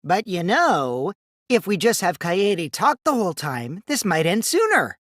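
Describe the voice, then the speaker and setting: A mischievous teenage boy from a video game, with a high youthful voice that swings widely from singsong teasing to hushed menace. He is a theatrical little liar who takes his time over his words, recorded close and dry. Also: very animated, a little slow, dry recording, heavily acted. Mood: teasing, sly. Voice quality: boyish, youthful put-on voice. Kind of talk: English video game voice acting.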